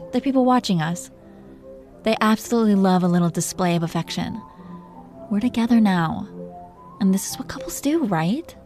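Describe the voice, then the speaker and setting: Dragon queen conqueror, played by a woman: flirtatious, possessive, commanding, mid-pitched, intimate roleplay audio with music underneath. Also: warm tone, natural voice. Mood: seductive, bossy. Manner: teasing, commanding, flirtatious with pet names.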